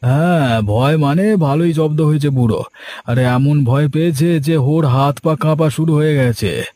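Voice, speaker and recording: An actor playing a menacing, smug village killer in a cartoon, bullying and commanding. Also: male voice, on the high side, moderate pace, dark tone, dry recording, close to an everyday voice. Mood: smug, scheming. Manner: threatening, commanding.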